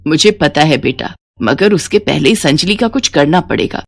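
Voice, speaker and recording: A woman's voice: low voice, animated, moderate pace, vocal fry, no echo, studio quality, full character voice. A woman voicing a cold, scheming older mother-in-law in a moral story.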